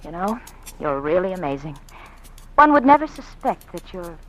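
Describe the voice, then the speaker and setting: A woman's voice: on the low side, sing-song, normal speed, fairly bright tone. An actress playing an anxious, secretive politician's wife in an old radio drama, hesitant and genteel in her speech. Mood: polite, flattering. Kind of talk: 1940s radio drama acting, melodramatic. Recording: faintly noisy old radio recording.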